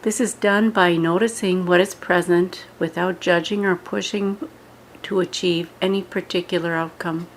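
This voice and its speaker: A woman giving scripted guided yoga meditation instructions in a measured way, pausing between short phrases. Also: lowish voice, fairly even intonation, slight background noise, low-quality recording.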